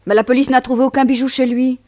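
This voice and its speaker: A woman's voice: high-pitched, little pitch movement, fast, a little mellow, dry recording, natural voice. A woman playing a detective's anxious, inquisitive young companion in a French radio serial. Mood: concerned, questioning.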